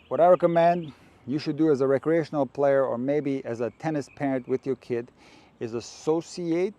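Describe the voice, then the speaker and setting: A man from Croatia, a tennis coach, speaks in a mellow, relaxed tone at a middling pitch. He talks to camera in an earnest, direct, measured way. He is outdoors.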